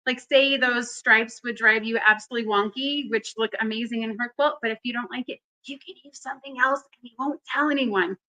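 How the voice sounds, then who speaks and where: Bubbly high-voiced woman hosting a livestream. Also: a little fast, hardly any pauses, pushed and bright, poor audio. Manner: bubbly, effusive. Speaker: host of a live quilting event. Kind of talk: livestream chat.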